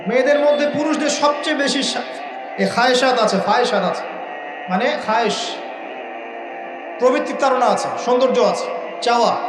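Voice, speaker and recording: A man preaches in a strikingly high-pitched voice, reverberant and set over clear background music. He is an emphatic Islamic preacher who tells stories, repeats key phrases and fires rhetorical questions at his listeners. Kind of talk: sermon with storytelling and questions to listeners. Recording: echoing voice with music laid under.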